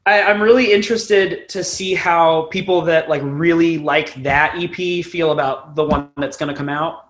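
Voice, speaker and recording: A young man with a fairly high voice speaks as a musician guest in an interview. He talks informally and loosely, circling around his thoughts and swearing casually. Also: steady tone, normal speed, a bit bright. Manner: rambling, informal, casually profane. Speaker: band frontman, podcast guest.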